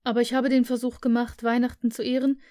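A woman reading the part of a cheerful, warm-hearted young man who speaks earnestly and pleadingly. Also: fairly high pitch, little pitch movement, fast, lightly acted.

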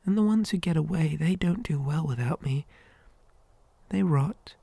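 A possessive living doll acted by a woman whispers in an ASMR horror roleplay. The voice is low and dark, with a creaky edge. It coaxes softly and hesitantly, with a gentle, childlike menace. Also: no room sound, full character voice. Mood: coaxing, unhinged. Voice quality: breathy whisper. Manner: soft, coaxing, slow and hesitant.

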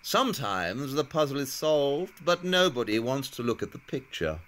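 Male actor as clever, intense detective for an audio play; theatrical, self-assured, very lively intonation, noisy background. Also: medium pitch, normal speed, lightly acted. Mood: probing. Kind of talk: audio drama acting.

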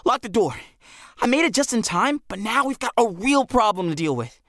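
A man voicing an awkward, easily flustered teenage boy with a halting manner in an audiobook story. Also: extremely high pitch, very animated, a bit bright, no echo, clean studio sound, voice pushed up, full character voice. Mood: urgent.

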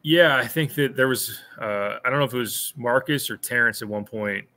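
A man's voice: mid-range voice, moderate pace, bright, forceful tone. A man in his thirties co-hosting a sports podcast, breaking down a game in a thoughtful way, often restarting and repeating words. He talks from a small room at home over a video call, wearing headphones. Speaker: podcast co-host, sports analyst. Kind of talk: casual postgame analysis. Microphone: over-ear headphones with a webcam on a video call.

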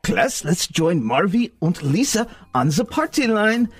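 Man playing a slow, swooping whimsical teacher. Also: high-pitched, sing-song, breathy edge, heavily acted. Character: whimsical, dreamy male cosmic teacher. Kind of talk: sci-fi radio comedy acting.

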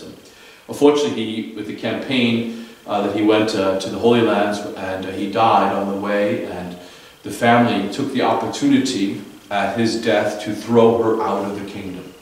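Priest, 50s, low voice, chatty homily storytelling, echoey chapel, picked up from across the room. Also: male voice, steady tone, normal speed, distant mic. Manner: conversational storytelling, fillers and restarts. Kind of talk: daily homily. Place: small chapel. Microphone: far camera.

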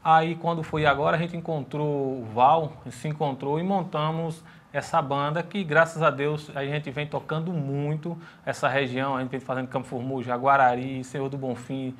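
A man's voice: on the high side, fairly even intonation, normal speed, few pauses. Earnest young man, Northeastern Brazilian accent. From Bahia, Brazil. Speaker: band singer, guest. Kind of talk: studio interview. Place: TV studio set. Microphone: lapel mic.

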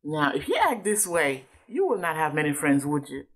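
Female read-aloud teacher, lower register, patient and explanatory, checks understanding often. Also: big pitch swings, normal speed. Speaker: adult reading a book with a child.

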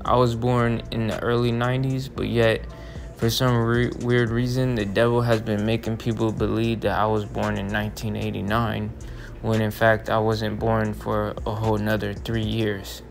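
A man's voice: on the low side, flat delivery, normal speed, gravelly, faint music behind. A man in his twenties giving a rambling religious monologue, circling back and repeating his phrases. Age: 20s. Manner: meandering, repeats phrases, frequent restarts. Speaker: religious vlogger. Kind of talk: rambling monologue over still images. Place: voice-over, not in pictured scene.

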